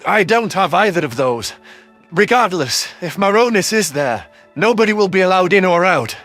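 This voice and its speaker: A man's voice: high-pitched, lively intonation, moderate pace, fairly bright tone, soft background music. A man voicing a cautious alien informant in a science fiction audio story. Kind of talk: audio story character dialogue.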